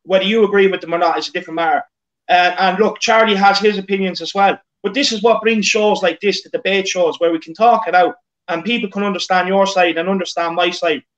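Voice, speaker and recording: High-voiced Irish man hosting a live stream. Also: steady tone, quick pace, fairly bright tone, dull audio. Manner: reads out live viewer comments, filler-heavy, repeats words. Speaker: host. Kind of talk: casual live-stream football chat.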